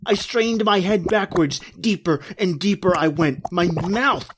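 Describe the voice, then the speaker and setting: A man's voice: high voice, measured pace, background noise. A man playing a guilt-ridden, terrified doomed man, declaiming urgently and melodramatically in old-time radio horror style. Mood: frantic, guilty.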